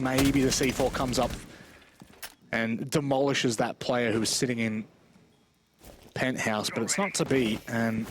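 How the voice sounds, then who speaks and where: A man's voice: mid-range voice, noisy background. A man giving chatty, colloquial live esports play-by-play commentary. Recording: gunfire and clicks behind voice. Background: video game gunfire.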